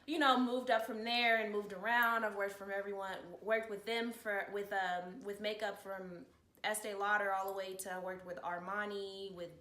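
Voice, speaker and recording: A woman's voice: medium pitch, little pitch movement, moderate pace, sharp tone. A woman of thirty-one talking casually about herself as an interview guest. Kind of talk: casual personal chat. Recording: echoey room with faint noise.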